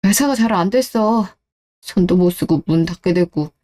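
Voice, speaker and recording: Radio drama role: evasive, desperate husband played by a woman, self-justifying and pleading, with a muted, mellow timbre. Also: lowish voice, lively intonation, normal speed, natural voice. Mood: defensive, aggrieved. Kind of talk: radio-drama story dialogue.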